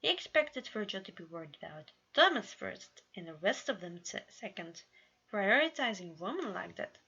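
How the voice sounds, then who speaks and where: A woman's voice: medium pitch, very animated, normal speed, vocal fry, sharp tone, slightly rough, dull audio. A woman narrating fan fiction in an amateur storybook style, stumbling over words and correcting herself now and then.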